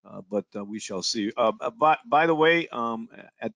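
A man's voice: mid-range voice, lively intonation, moderate pace, vocal fry, a bit bright, no room sound. A man presenting an online training webinar, friendly and informal, with hesitant fillers. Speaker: applications engineer, presenter.

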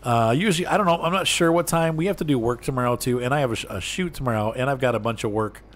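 A man's voice: mid-range voice, fast. A man chatting casually and rambling to viewers while playing a farming game, laughing often. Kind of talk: casual gameplay chat.

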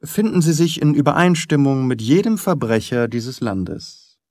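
Man acting out a gruff, indignant, haughty doctor. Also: medium pitch, lively intonation, moderate pace, a little mellow, dry recording, close to an everyday voice. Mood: scornful. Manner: brusque, haughty, cutting. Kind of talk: audiobook character acting.

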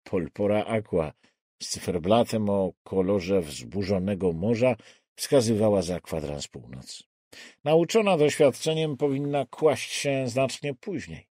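A man narrates a dark thriller with measured, deliberate care. His voice sits fairly low and moves through a wide, expressive range of pitch. The pace is slow and dramatic. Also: no echo, studio quality. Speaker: audiobook narrator.

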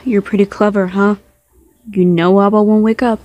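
A woman's voice: mid-range voice, fairly even intonation, measured pace, darkish tone, lightly acted. A woman voicing fond, easily flustered young men with a sighing, mildly exasperated manner in an amateur comic dub.

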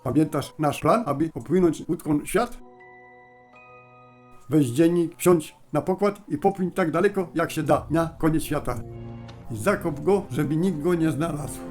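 A man playing a paranoid, secretive, intense scientist in a fan-dubbed animated cartoon, speaking urgently and formally. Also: a little high, a little slow, soft background music, close to an everyday voice. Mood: urgent, solemn. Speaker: fan dub voice actor. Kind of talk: animated cartoon dub acting.

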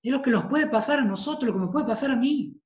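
A man in his thirties with a Tucumán Argentine accent, a priest giving an emphatic devotional talk over a video call, repeating phrases for weight and clearing a tired throat. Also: very high-pitched, fast. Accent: Tucumán Argentine Spanish. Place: study with bookshelves, indoors. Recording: roomy, dull video-call audio.

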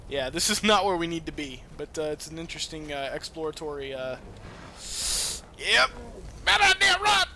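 A man giving casual let's-play game commentary in an excitable, foul-mouthed manner. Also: on the high side, normal speed, a little hiss or hum. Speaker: gamer playing and commentating.

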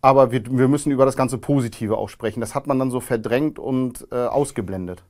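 A man in his thirties, a guest in a studio interview, answering in a calm, measured way with frequent hesitations. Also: medium pitch. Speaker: interview guest, former neo-Nazi. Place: studio with grey backdrop.

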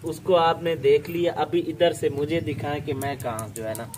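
A man's voice: on the high side, normal speed, noisy background. A young man from Pakistan hosting a casual village vlog outdoors, chatty and laughing often as he talks to his viewers. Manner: chatty, laughs often, keeps saying "okay". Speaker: vlog host.

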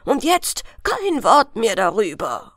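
A woman's voice: on the high side, wildly swooping pitch, slow pace, fairly bright tone, no room sound, clean studio sound, exaggerated voice. A woman voicing a stern old fisherman father in a fairy tale, admonishing his child emphatically and with vehemence. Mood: vehement, commanding.

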